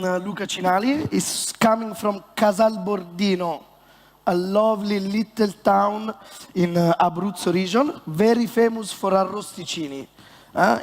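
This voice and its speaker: A man with an Italian accent, a bartender presenting on stage in a joking, halting way with many fillers. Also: high voice. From Italy. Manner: halting and playful, many fillers, self-mocking banter. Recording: long hall reverb.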